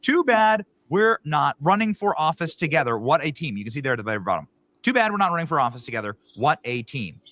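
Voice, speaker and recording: A man's voice: on the high side, sing-song, fast, fairly bright tone. A man commenting on an online show, sounding incredulous and repeating himself for emphasis. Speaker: political commentator.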